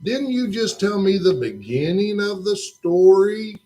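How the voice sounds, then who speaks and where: A man's voice: high voice, animated, measured pace, warm tone. A man voicing a curious, playful young boy puppet in a church children's puppet show, asking questions and cracking jokes. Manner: questioning, jokey and full of wonder.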